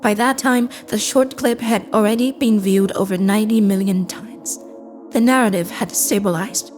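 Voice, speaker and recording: Mid-pitched female AI played by a woman, measured, detached, deliberate, audio drama narration, clear cinematic music behind. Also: a little mellow, lightly acted. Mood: detached, explanatory. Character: calm, impassive AI assistant telling a story. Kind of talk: scripted first-person audio drama narration. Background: cinematic score.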